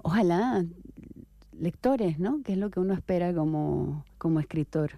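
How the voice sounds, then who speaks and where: A woman writer from Venezuela answering interview questions in a reflective, unhurried way, with soft hesitations and tag questions. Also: fairly low pitch, gravelly, dry recording.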